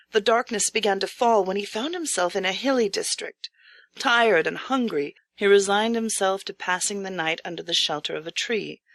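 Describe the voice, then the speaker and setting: A woman's voice: medium pitch, a bit bright, no echo. Woman narrating a storybook, close dry sound. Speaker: audiobook narrator.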